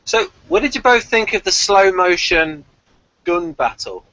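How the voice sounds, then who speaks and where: A man's voice: high-pitched, sing-song, a little slow, bright, forceful tone, no echo, lo-fi sound. A man in casual TV review chat, co-hosting with questions and enthusiastic, argumentative defences. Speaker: podcast co-host leading questions.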